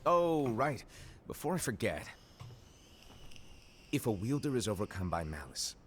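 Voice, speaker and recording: An actor playing a playful, eccentric master of apprentices in a fantasy game cutscene, flippant and theatrical. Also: male voice, lowish voice, sing-song, measured pace, slight background noise. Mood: breezy, playful. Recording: game cutscene with clear music behind.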